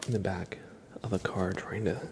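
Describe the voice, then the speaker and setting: A man whispering softly and casually as he hosts an ASMR livestream, in a hushed, unhurried manner. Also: low voice, fairly even intonation, background noise.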